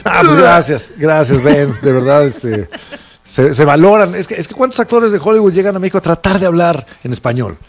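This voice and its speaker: A man's voice: fairly high pitch, very animated, fairly quick. A Mexican man hosting a casual talk show and introducing the clips. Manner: leads the segment, introduces the clips. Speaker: show host.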